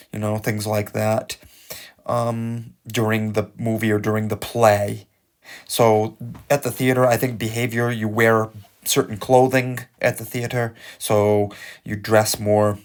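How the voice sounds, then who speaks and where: A man with a lowish voice and a gravelly, creaky edge walks students through exam questions. He muses and hesitates, filling pauses with ums and uhs. He sounds like a teacher explaining in an unhurried, informal way. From English-speaking country. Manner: musing, hesitant, many fillers. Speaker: English teacher. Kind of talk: exam-prep lesson talk.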